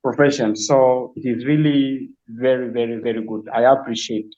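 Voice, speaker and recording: A man speaks steadily and warmly in praise of a course. He stresses his points by repeating words. His voice comes through thin and tinny, like a phone line. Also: medium pitch, steady tone. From South Sudan. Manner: measured and appreciative, repeats "very". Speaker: nursing department head. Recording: tinny phone-like call audio.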